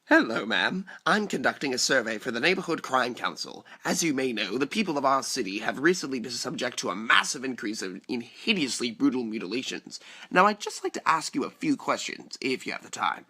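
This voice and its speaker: Male actor as courteous canvasser, cheery, animated delivery, comic dub. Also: mid-range voice, fairly quick, hardly any pauses, heavily acted. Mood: chirpy, polite.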